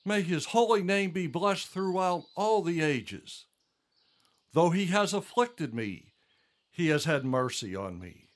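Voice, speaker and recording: A man's voice: fairly high pitch, expressive, takes it slowly. An older man playing a devout, grateful elderly father in a religious audio drama, with fervent, reverent delivery. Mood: reverent, moved.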